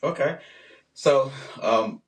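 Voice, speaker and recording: A man with a Haitian accent, a guest engineer and business owner in his thirties, answering interview questions softly with many pauses and ums. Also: mid-range voice, lively intonation. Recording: roomy sound from a distant mic.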